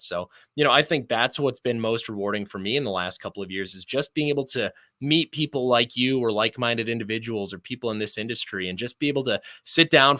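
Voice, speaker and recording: Sports announcer guy as a podcast guest, lowish voice but bright n punchy, chatty storyteller. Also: no room sound, clean studio sound. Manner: chatty, talkative, fluent storyteller. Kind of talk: casual anecdotal interview talk.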